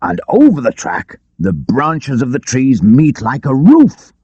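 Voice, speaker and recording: A man voices a hearty outlaw second-in-command in a storybook adventure. He is confident, with a raised pitch that falls and rises in broad sweeps. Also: wildly swooping pitch, unhurried, vocal fry, warm tone, breathy edge, no echo, highs cut off, exaggerated voice. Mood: hearty, eager. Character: Little John, hearty confident male outlaw lieutenant.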